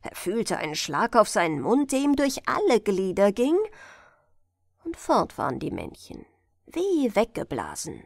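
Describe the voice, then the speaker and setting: A woman narrating a fairy tale as a gentle bedtime audiobook reading. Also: medium pitch, wildly swooping pitch, creaky, no room sound. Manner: slow, melodic storytelling.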